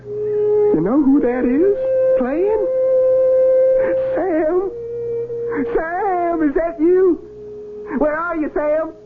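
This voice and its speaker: A guilt-ridden, confused American soldier from a 1970s radio drama. His voice rides very high, with halting country phrasing. The recording is thin and tinny, with heavy noise. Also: exaggerated voice. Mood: eager, calling. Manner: folksy, halting, stammering speech. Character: confused, guilt-ridden wounded Civil War soldier, rustic and haunted.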